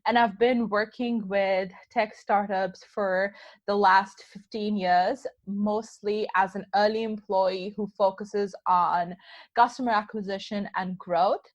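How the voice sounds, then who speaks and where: A woman from Pakistan, talking as an interview guest in a lively, thinking-aloud way with fillers. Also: medium pitch, slow pace, no echo. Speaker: guest, tech founder. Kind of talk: casual interview talk. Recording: slightly dull lo-fi sound.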